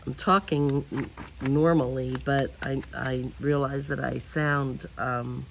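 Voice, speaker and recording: A woman hosting a crafting video outdoors. She talks in a quiet, subdued way, drifts into mutters and whispers as she works, and her voice is lower and deeper than usual. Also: steady tone, slow pace, gravelly, a little hiss or hum, low-quality recording. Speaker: host of a paper craft channel. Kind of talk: casual crafting chat while working. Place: outdoors, in a breeze.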